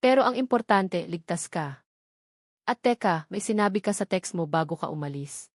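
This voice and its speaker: A woman's voice: fairly low pitch, lively intonation, moderate pace, no room sound, studio quality. A woman with a Filipino accent voicing a worried, loyal young female best friend in an audio story, concerned and informal. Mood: amazed, supportive.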